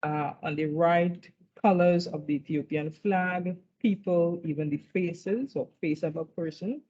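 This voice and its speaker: A woman presenting at an academic conference, walking through her slides in a measured way and often checking in with "right?". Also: fairly low pitch, mellow. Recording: dull lo-fi recording.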